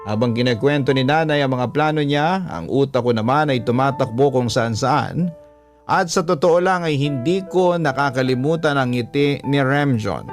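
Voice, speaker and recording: A man's voice: mid-range voice, normal speed, faint music behind. A man reading a scripted first-person love story as a radio drama narrator. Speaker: radio show story reader.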